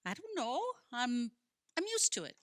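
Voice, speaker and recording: A woman's voice: on the high side, very animated, moderate pace, dry recording, clean studio sound. A woman acting in a radio play as an earnest, principled librarian with a precise, questioning way of talking. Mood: curious. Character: earnest, principled female librarian, quick to challenge.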